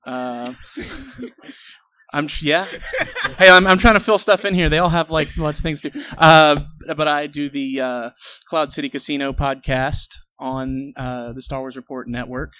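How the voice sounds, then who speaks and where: A man's voice: a little high, steady tone, measured pace, sharp tone, clean tone, no room sound. A man podcaster introducing himself on a fan panel, rambling and self-deprecating. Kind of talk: convention panel chat.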